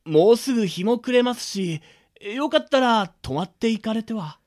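A man's voice: sits high, expressive, normal speed, no echo. A man playing a kindly, polite adult villager in an amateur radio drama, speaking hospitably. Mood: courteous. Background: music.

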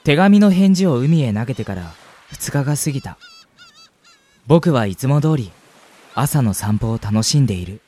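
Man playing a wistful male student, lively intonation. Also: medium pitch, moderate pace, pauses often, husky. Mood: earnest, wondering. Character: gentle, wistful young male university student. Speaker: voice actor in a radio drama. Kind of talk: radio drama acting with first-person narration.

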